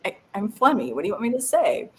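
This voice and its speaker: A woman's voice: mid-range voice, sing-song, fast talker, a little mellow. Giggly woman greeting live viewers. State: phlegmy, coughing. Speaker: co-host of a live stream. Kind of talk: casual live-stream chat.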